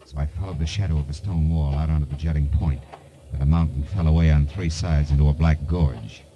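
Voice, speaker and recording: An actor playing a wry, cool American private detective, clipped and deadpan, in a 1950s noir radio drama. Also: male voice, extremely low pitch, brisk, highs cut off, a little hiss or hum. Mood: grim narration. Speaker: lead detective and narrator.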